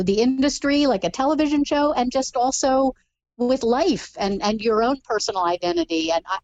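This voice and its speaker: A woman's voice: fairly high pitch, darkish tone, dry recording, highs cut off. A woman talking about a book in a casual podcast chat, thoughtful and analytical.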